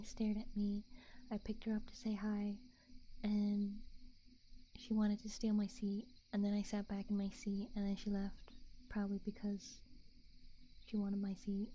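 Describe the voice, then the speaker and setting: A soft-spoken woman hosting an ASMR livestream, chatting casually and gently, often slipping into whispers, close to the microphone. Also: medium pitch, lo-fi sound, slight background noise. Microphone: close binaural ASMR microphone.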